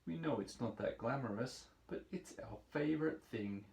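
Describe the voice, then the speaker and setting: A man's voice: mid-range voice, expressive, moderate pace, vocal fry, a little mellow, a little hiss or hum. Man as a humble little barnacle, echoey. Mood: modest, content. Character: humble little barnacle, cheerfully content to cling. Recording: roomy echo, distant microphone.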